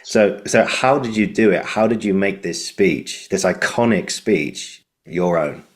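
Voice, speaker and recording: A man hosts a podcast with a very low, deep voice. He asks questions eagerly and chuckles between them in easy conversation. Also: measured pace. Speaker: podcast host. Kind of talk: casual interview chat.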